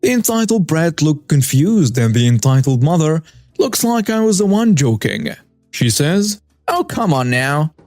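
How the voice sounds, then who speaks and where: A young man tells a first-person story in an easygoing, wry way, his voice a touch higher than most men's. It is recorded close and dry, with faint music running underneath. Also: sing-song, moderate pace, warm tone, no echo. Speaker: story narrator.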